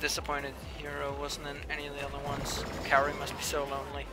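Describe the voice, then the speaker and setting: A young man with a slightly high, somewhat whiny voice talks casually to his viewers while he plays. He trails into quiet asides to himself, and music plays clearly behind him. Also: monotone, fast. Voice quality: says he has a whiny voice. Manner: chatty, mumbles asides to himself while playing. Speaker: game streamer. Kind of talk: casual live-stream commentary, reading chat. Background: background music.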